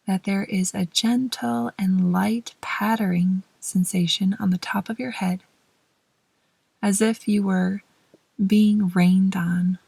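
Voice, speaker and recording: A woman gently leading a guided meditation in a soft, breathy voice that often trails toward a whisper, with measured pauses between short phrases. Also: medium pitch, no echo. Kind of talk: slow, soothing guided meditation narration.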